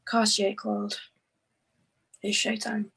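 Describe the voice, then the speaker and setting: A woman's voice: mid-range voice, completely flat delivery, slow, vocal fry, a bit bright, slightly rough, heavily acted. A woman acting a tense, exasperated heist crew member in a screenplay table read. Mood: concerned.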